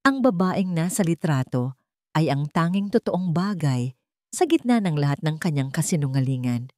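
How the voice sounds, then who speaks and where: A woman with a fairly low voice narrates a scripted romantic drama. She reads as a storyteller in dramatic style, recorded dry with no room sound. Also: expressive, moderate pace, darkish tone, no echo.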